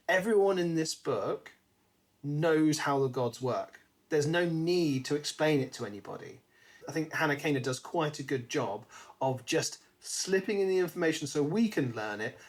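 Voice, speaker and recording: A man co-hosting a podcast, chatting casually about a book and acting out bits as he retells. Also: medium pitch. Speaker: podcast co-host.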